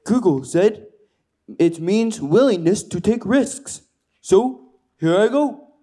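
A man's voice: high voice, animated, slow pace, warm tone, exaggerated voice. A man voicing a foolhardy, self-styled daredevil puppet with naive bravado in a children's puppet show. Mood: naively confident.

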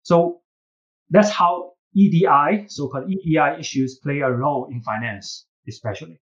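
A man with a Chinese accent and a fairly high voice gives earnest interview answers as a professor. He speaks haltingly, repeating words as he builds his point. The recording sounds slightly dull and lo-fi. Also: a bit bright. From China. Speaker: business school professor and case writer, podcast guest.

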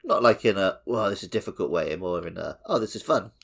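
A man's voice: low voice, expressive, fast, gravelly, breathy edge. A man co-hosting a podcast, chatting casually and conversationally about a video game. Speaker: podcast co-host.

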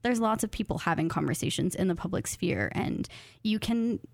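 A woman's voice: fairly low pitch, expressive, moderate pace, creaky, no echo, clean studio sound. A woman in her thirties talking casually and reflectively as an interview guest, earnest, enthusiastic and informal. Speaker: podcast guest, creative nonfiction graduate student and writer.

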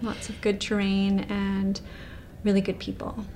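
A woman's voice: mid-range voice, monotone, measured pace, darkish tone, a little hiss or hum. A woman in her forties, a former professional snowboarder from Canada, answering interview questions in an easygoing way with many fillers. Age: 40s.

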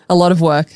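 A woman with an Australian accent, talking informally as a podcast guest and quick to laugh. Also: fairly low pitch, darkish tone, dry recording, clean studio sound. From Melbourne, Australia. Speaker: podcast guest, startup founder. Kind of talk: conversational interview.

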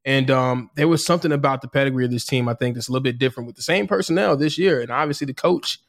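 Laid-back man chatting sports, medium pitch. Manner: laid-back, halting, peppers talk with "man" and "you know". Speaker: sports and culture podcast co-host. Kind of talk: casual sports chat.

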